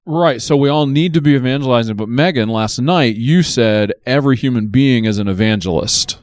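A man co-hosting a church podcast, jokey and quick to laugh while asking questions. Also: mid-range voice, dry recording, clean studio sound. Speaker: podcast co-host.

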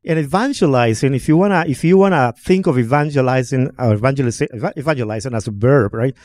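A man's voice: medium pitch, fast, darkish tone. A man from Honduras, a guest on a church podcast who talks reflectively and often checks in with "right?". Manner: reflective, peppers talk with "right?". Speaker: guest speaker. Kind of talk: conversational podcast discussion.

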